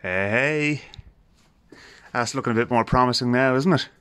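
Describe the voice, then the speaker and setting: A man's voice: medium pitch, a little slow, a bit bright, clear, resonant tone. An Irish man giving casual, hands-on repair commentary, thinking aloud in an informal way with wry understatement. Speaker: host of a radio repair video. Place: garage. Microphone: handheld phone.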